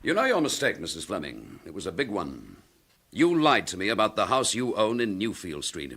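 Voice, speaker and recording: An actor playing a calm, firm police chief inspector in a classic radio drama, polite but commanding. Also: male voice, fairly low pitch, normal speed, slightly rough, exaggerated voice. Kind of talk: 1950s-style radio drama acting.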